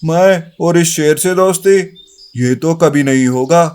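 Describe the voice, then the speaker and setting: A man voicing a big, stubborn, indignant elephant in an animated moral story, argumentative in manner. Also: a little high, measured pace, clear, resonant tone, lightly acted. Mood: irritated. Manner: defiant, argumentative.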